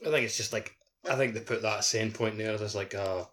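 A man with a fairly low voice chats casually about a video game. He speaks informally, as a co-host on a podcast. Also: steady tone, fairly quick, fairly bright tone.

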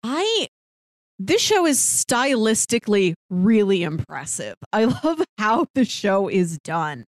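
A female podcast co-host chats casually in a mid-pitched voice. The recording is dry, with no room sound around her. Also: big pitch swings, slow, no room sound.

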